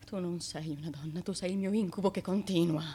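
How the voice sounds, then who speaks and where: A woman's voice: on the low side, fairly even intonation, fairly quick, warm tone, breathy edge. A woman acting in a radio drama as a haughty, dutiful wife of an ancient Greek tyrant, with a declamatory, insistent delivery. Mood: scornful, righteous.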